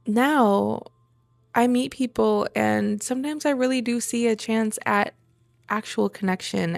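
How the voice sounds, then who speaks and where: A woman speaks in a personal, reflective monologue at a medium pitch, with plenty of vocal fry. She pauses between short phrases and repeats herself for emphasis. The sound is dry, with no room echo. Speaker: vlogger sharing a personal journey.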